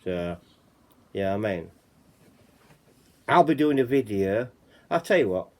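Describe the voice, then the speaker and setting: A man's voice: lowish voice, very animated, slow, a little mellow. A man with a Yorkshire accent hosting a casual chat channel, reading out reports and rambling, often saying "you know what I mean". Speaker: channel host.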